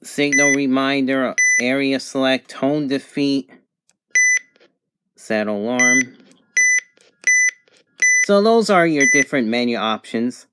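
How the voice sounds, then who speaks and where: A person giving a casual product review and demonstration in a halting, hesitant way. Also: medium pitch. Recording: clear background noise, amateur home recording.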